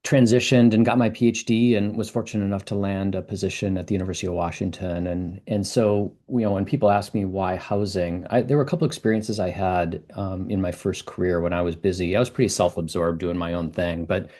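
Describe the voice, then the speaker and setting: A man's voice: deep voice, steady tone, brisk, hardly any pauses, warm tone. A man in his fifties from the US Midwest, a professor and interview guest, explaining in fluent storytelling. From Minnesota, US Midwest. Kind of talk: conversational interview.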